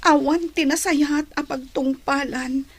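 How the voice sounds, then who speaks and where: Lady acting as a humbled sorry daughter in an audio drama, breathy high voice, takes her time. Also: steady tone, slow pace. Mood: humbled, apologetic. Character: remorseful grown daughter, humbled and confessional.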